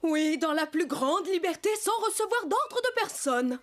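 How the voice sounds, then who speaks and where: A woman's voice: very high voice, sing-song, measured pace, heavily acted. A woman voicing a self-assured young female horse in a children's cartoon. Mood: assertive. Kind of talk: French dubbed children's cartoon acting.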